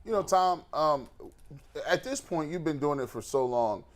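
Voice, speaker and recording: A man's voice: on the high side, expressive, normal speed. A man asking casual interview questions as a sports show panelist.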